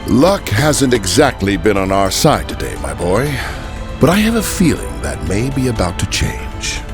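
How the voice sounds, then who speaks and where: A courtly, learned older gentleman hero from a video game. He muses slowly, his pitch rising and falling widely, with music underneath. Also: big pitch swings. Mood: intrigued, courteous. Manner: measured, courteous, musing. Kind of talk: video game cutscene acting.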